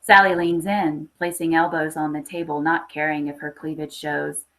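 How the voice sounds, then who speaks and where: A woman reading a literary horror short story aloud with expressive, scripted narration. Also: low voice, moderate pace, low-quality recording. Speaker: story reader.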